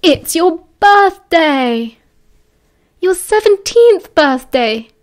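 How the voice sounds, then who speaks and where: A woman voicing a noisy, cheerful, excitable teenage boy in a story, exuberant and mock-grand. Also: high voice, normal speed, natural voice. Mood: gleeful.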